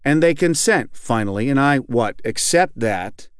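A man's voice: mid-range voice, lively intonation, a little slow, smooth, no echo, clean studio sound, natural voice. A man reading aloud as an angry, profane middle-aged man in recovery, rambling and repeating himself. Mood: aggrieved, rambling. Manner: rambling, profane, keeps asking "you know what I'm saying". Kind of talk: reading aloud a fictional monologue.

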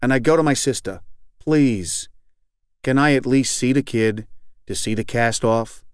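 A man's voice: mid-range voice, a little slow, smooth, no echo, studio quality, lightly acted. A man reading aloud as an angry, profane middle-aged man in recovery, rambling and repeating himself. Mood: bitter, heated. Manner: rambling, profane, keeps asking "you know what I'm saying". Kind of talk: reading aloud a fictional monologue.

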